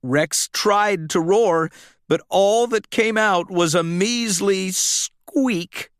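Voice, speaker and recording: A man narrating a scripted children's story in a dramatic, expressive, deliberate way. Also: high voice, no room sound, clean studio sound.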